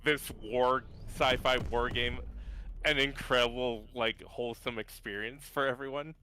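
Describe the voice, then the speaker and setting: A man's voice: medium pitch, fairly even intonation, a little slow, sharp tone, a little hiss or hum. A man with a very nasal voice, chatting casually as a guest while playing a game, in a joking, self-deprecating way. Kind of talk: casual chat while gaming.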